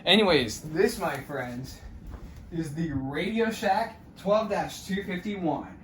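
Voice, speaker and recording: A man's voice: on the high side, lively intonation, slow pace, a bit bright. A man giving a casual, showy hobbyist unboxing narration, announcing each step like a presenter. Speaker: host of a radio unboxing video. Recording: noisy room recording with ambient hiss.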